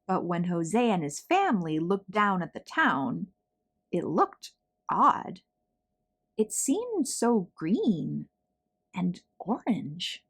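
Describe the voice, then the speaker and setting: Slow, animated woman reading a children's story. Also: lowish voice, creaky, slightly rough. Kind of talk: expressive read-aloud of a picture book.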